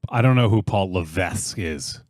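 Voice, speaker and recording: A man's voice: sits low, expressive, normal speed, a little mellow, no room sound, studio quality. A man hosting a podcast, teasing the others dryly while moving the show along. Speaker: podcast host. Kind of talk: casual chat, steering the talk.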